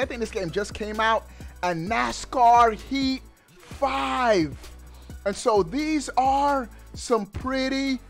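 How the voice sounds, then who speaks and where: A man in his thirties giving excited, slangy gaming news commentary into a headset mic, emphatic and drawing out his words. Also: very high-pitched. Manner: emphatic, animated delivery with drawn-out words, slangy. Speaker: gaming YouTuber. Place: indoors at a streaming setup.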